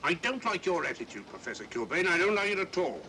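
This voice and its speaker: An actor playing a brusque, officious police inspector, blustering and sarcastic, in an old mystery film. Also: male voice, big pitch swings, fast, bright, forceful tone, heavily acted. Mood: stern. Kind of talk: 1940s mystery film acting. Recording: noisy old film soundtrack, train rumble.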